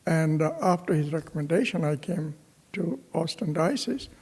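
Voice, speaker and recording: A man from Pakistan, a priest, telling his own story in a homily, slow and halting with many fillers and restarts. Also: on the high side, expressive, darkish tone, breathy edge, long reverb tail. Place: parish church.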